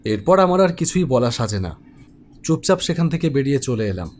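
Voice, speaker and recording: A young man narrating an animated horror story in the first person, conversational and reflective. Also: mid-range voice, a little fast, slight background noise. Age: young adult. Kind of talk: scripted storytelling.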